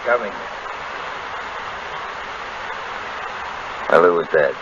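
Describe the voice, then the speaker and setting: A man's voice: deep voice, expressive, fast. A tough, suspicious gangster in an old radio crime play. He talks in surly slang with a lot of rise and fall, on a dull, noisy recording. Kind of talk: 1930s radio drama acting.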